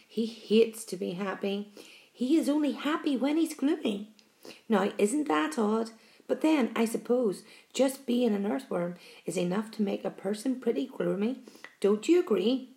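A woman voicing a gentle, chatty female ladybird in a storybook, genteel and confiding. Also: a little high, lively intonation, slow pace, hardly any pauses. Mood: confiding, pitying.

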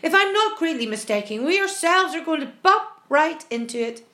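A woman's voice: high-pitched, sing-song, unhurried, echoey room. A woman voicing a know-it-all male centipede in a storybook, speaking curtly and emphatically. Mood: sharp warning.

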